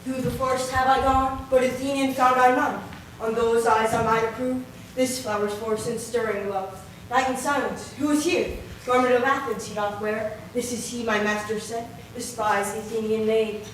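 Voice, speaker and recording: A woman's voice: fairly high pitch, steady tone, normal speed, close to an everyday voice. A woman playing a mischievous fairy servant in a Shakespearean stage play, chanting rhymed verse rhythmically. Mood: mischievous, incantatory. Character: Puck, mischievous fairy servant casting a love charm. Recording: very echoey hall from far off, faint noise.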